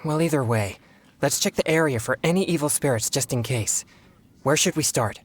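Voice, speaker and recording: An actor playing an earnest, polite young exorcist in a video game, speaking in a formal, measured, self-controlled way. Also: male voice, medium pitch, natural voice. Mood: earnest, pensive.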